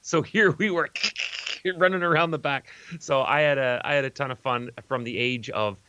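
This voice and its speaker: A man's voice: mid-range voice, moderate pace, sharp tone, highs cut off, a little hiss or hum. A middle-aged man co-hosting a comedy show, telling rambling, exclamatory stories in casual chat. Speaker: co-host of a live comedy film-riffing show. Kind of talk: casual chat, comic storytelling.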